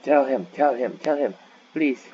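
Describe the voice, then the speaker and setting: A man giving casual, halting let's play commentary, thinking aloud as he goes. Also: mid-range voice, a little mellow, muffled recording, a little hiss or hum. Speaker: gaming video host.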